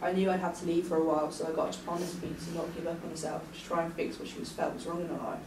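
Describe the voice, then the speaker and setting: A woman's voice: on the low side, little pitch movement, racing, lightly acted. A woman reading the part of a caring, teasing young friend at a drama table read, recorded at a distance in an echoey, noisy room. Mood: earnest.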